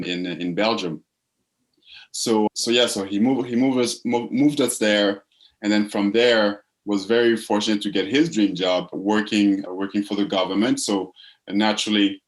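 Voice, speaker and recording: A man from the Congo speaks as a guest in a casual interview. His voice sits low. He talks reflectively and often repeats a word while he finds the next one. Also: poor audio. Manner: reflective, repeats words while searching for phrasing. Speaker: guest, purpose coach.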